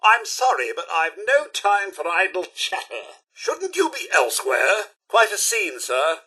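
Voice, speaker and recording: A loyal, fussy robot butler for a video game, speaking in a formal British accent. The voice sits fairly high and is bright and crisply forceful, with very lively rises and falls. The manner is prim, theatrical and sardonic. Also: male voice, a little slow, full character voice. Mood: regretful. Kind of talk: video game companion voice acting.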